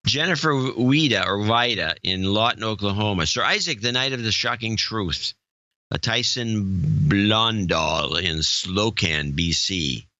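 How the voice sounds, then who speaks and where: Slow, low-voiced man reading names. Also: bright, forceful tone, dry recording, highs cut off. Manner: reads names haltingly, stumbles over pronunciations and jokes in between. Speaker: podcast co-host reading donor names. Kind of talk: casual chat.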